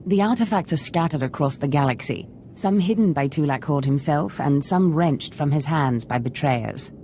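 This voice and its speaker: A commanding dark sorceress mentor from a game, very low and mellow in voice. She is composed and measured, scheming with calm authority. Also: slight background noise. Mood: grave, scheming. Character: commanding, scheming female Sith lord mentor. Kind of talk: video game character acting.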